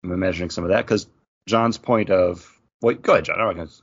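Gravelly, husky low-voiced man chatting. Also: fast, lo-fi sound. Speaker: podcast co-host. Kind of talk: casual chat about geek news.

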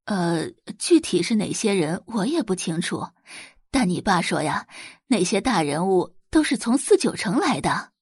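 A woman's voice: fairly low pitch, big pitch swings, measured pace, darkish tone, breathy edge, dry recording, clean studio sound, full character voice. A woman voicing a gossipy, pushy middle-aged mother in an audio drama, coaxing and exclaiming emphatically. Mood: confiding.

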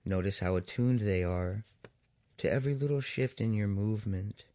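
A man with a deep voice and a gravelly fry leads a guided meditation, very slowly and soothingly. He leaves long pauses between short phrases, and the recording is dry and close. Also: mellow, no echo.

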